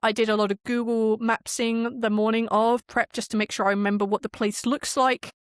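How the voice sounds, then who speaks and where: Fast-talking, chatty woman telling stories. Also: a little high, little pitch movement, clear, resonant tone, no echo, studio quality. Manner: chatty, emphatic, swears casually. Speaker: podcast guest, game master and audio drama creator. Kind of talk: animated casual interview storytelling.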